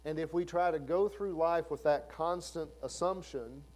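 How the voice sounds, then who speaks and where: Mellow-voiced man in his fifties preaching. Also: fairly high pitch, normal speed. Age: 50s. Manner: conversational preaching with rhetorical questions. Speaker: pastor. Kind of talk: sermon.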